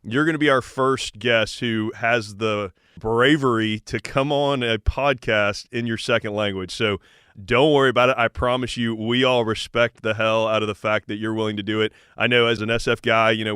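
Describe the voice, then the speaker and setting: An American man speaks as a podcast host in a low register. His voice is clean and resonant, recorded close and dry. He talks informally and encouragingly, as in a relaxed interview. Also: moderate pace, smooth, dry recording. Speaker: podcast host, army sergeant major.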